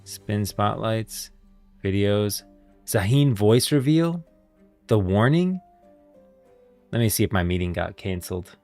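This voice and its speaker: A man's voice: lowish voice, animated, takes it slowly, long pauses, a little mellow, no echo, faint music behind. A man hosting a livestream, chatting casually and thinking aloud to viewers in informal, slangy language. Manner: informal slangy talk addressing viewers as guys and bros. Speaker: livestreamer and host.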